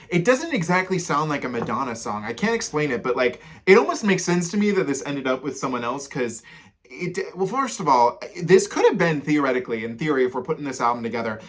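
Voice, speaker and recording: A man in a casual, loosely meandering, conversational style, giving commentary as a music reaction host. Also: mid-range voice.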